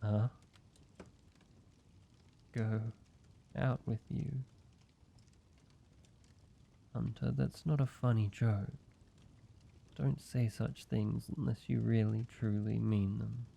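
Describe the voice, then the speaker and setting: A man voicing a weary, guarded ancient vampire who is grieving yet tender, speaking haltingly in an intimate roleplay audio drama with a gravelly voice. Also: flat delivery, warm tone, a little hiss or hum, heavily acted. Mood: taken aback. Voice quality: low, gravelly. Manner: slow, halting, hesitant delivery with pauses.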